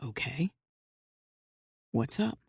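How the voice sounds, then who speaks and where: A woman voicing a steady, guarded adult man who speaks in terse, measured lines in an audiobook. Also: very deep voice, creaky, slightly rough, no echo, studio quality, voice pushed down, full character voice. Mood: casual. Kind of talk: audiobook character reading.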